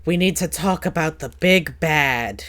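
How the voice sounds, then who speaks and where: Slow, low-voiced woman hosting a podcast. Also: fairly bright tone. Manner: playful, teasing, rambling. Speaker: podcast host. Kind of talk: casual podcast chat.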